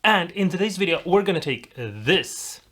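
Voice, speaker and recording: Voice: mid-range voice, lively intonation, moderate pace, a bit bright. A host from Europe talking viewers through a build in a casual, rambling, encouraging way. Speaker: host of a DIY guitar pedal video.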